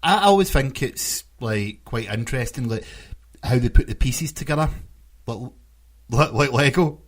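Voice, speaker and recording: A man's voice: medium pitch, lively intonation, moderate pace. A man co-hosting a podcast, chatting casually. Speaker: podcast co-host. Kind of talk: casual chat.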